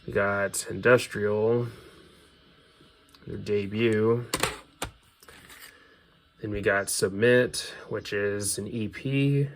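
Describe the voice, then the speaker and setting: A man with a fairly low voice talks casually as a video host, in an unscripted, offhand way that softens each opinion. There is some noticeable background noise in the recording. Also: fairly even intonation, very slow, pauses often. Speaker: video host showing a music CD collection. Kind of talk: casual unscripted collection commentary.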